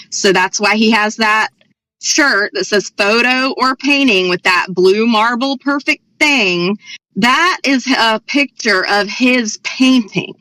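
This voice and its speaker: A woman's voice: mid-range voice, pushed and bright, dry recording, poor audio. A woman on a voice chat panel, explaining at length in a chatty, lecturing way. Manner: chatty, lecturing, calls listener hun. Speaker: panel member.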